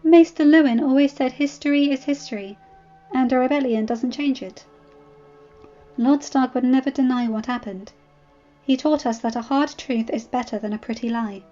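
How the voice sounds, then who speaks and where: A woman plays a young highborn lady of a fantasy storybook, commanding yet curious. Her voice is very high and soft in tone, her words formal and earnest. The audio is a little dull, with music behind. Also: normal speed, natural voice.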